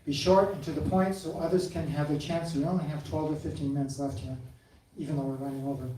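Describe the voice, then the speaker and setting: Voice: medium pitch, fairly even intonation, fast talker. Hesitant moderator in a noisy echoey hall. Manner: hesitant, with fillers and self-corrections. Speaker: event moderator. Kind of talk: hosting a live forum. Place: large hall at a live forum. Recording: echoey hall, far from mic, audible room noise.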